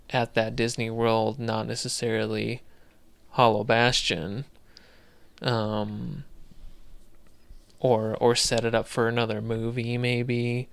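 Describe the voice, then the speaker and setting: A man's voice: mid-range voice, vocal fry, smooth, no echo. A man co-hosting a podcast in casual chat, thinking aloud in a loose, rambling way. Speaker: podcast co-host.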